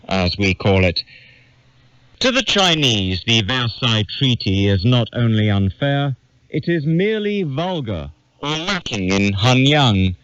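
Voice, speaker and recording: A man reading a literary essay aloud in a measured, formal manner. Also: mid-range voice, a bit bright, dry recording, dull audio. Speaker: reader of an essay.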